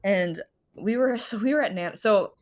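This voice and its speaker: A woman's voice: medium pitch, normal speed. A woman co-hosting a podcast, telling a rambling story in casual chat with frequent giggles and fillers. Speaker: podcast co-host.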